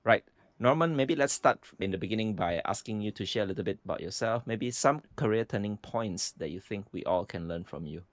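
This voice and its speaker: Low-voiced podcast host. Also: male voice, expressive, a little fast, dry recording. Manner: upbeat, enthusiastic. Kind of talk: scripted podcast introduction.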